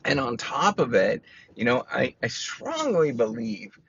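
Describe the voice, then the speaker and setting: A middle-aged man with a squeaky voice, musing aloud in a rambling personal monologue full of fillers. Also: sing-song, measured pace, gravelly, a bit bright, husky. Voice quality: squeaky, pitch all over the place. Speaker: professor vlogger. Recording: slightly dull with faint noise.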